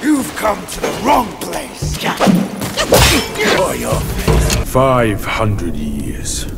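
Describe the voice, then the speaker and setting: A man playing a boastful, vengeful bear demon in a theatrical video game cutscene, gravelly and menacing, with music behind. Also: mid-range voice, wildly swooping pitch, extremely slow, full character voice. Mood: brooding menace. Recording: loud cinematic music behind.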